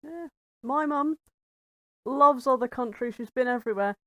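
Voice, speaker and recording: A woman's voice: sits high, normal speed, pushed and bright, no room sound, studio quality. A woman with a London-influenced English accent chats casually as a podcast co-host, joking as she tells little stories. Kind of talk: casual chat.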